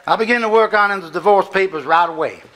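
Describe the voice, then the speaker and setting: A man's voice: high-pitched, fast talker, a bit bright. A man playing a folksy, businesslike small-town lawyer with a Southern US accent on a theater stage. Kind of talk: live stage acting. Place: theater stage before audience.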